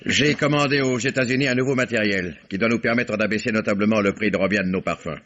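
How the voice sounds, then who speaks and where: An actor playing a stern, curt factory owner in an old French radio mystery, answering formally and drily. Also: male voice, fairly low pitch, fast talker, fairly bright tone, lightly acted. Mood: curt, guarded. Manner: clipped, formal, dry. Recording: dull old radio drama recording.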